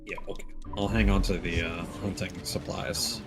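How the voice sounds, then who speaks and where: A man's voice: deep voice, fairly even intonation, moderate pace. A man doing casual live gameplay commentary as a streamer, muttering to himself as he plays. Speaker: game streamer. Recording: loud game audio and music behind.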